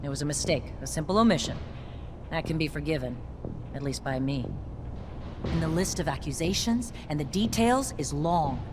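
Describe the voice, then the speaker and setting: Low-voiced, stern military captain. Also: female voice, expressive, background noise. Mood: probing, reproachful. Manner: measured, authoritative. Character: stern but loyal female military captain. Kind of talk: dramatic video game dialogue.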